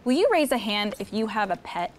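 A young woman hosting story time, reading a picture book aloud to children in an expressive, encouraging way. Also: mid-range voice, brisk. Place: studio set with seated children.

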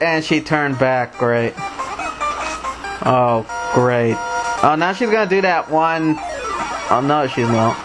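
A man with a Mexican accent narrates his gameplay in a fairly high voice, muttering complaints and repeating little phrases as he plays. Retro game music plays clearly behind him. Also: rough recording. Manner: grumbling, talks to the game characters, hums and repeats himself. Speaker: let's play commentator. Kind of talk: casual gameplay commentary.

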